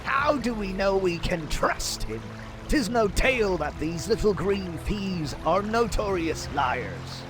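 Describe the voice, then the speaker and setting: A man's voice: high-pitched, lively intonation, slow. A man voicing a proud, suspicious dwarf adventurer in a fantasy game, blunt and archaic in phrasing. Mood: proud, distrustful. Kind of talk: fantasy game cutscene acting. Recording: music behind the voice.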